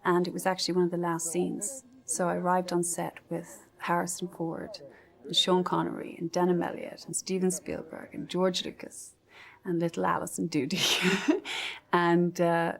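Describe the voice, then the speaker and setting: An actress telling stories from a film set in an interview, animated and full of small fillers. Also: female voice, on the low side, normal speed, creaky. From Ireland. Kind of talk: reflective interview recollection.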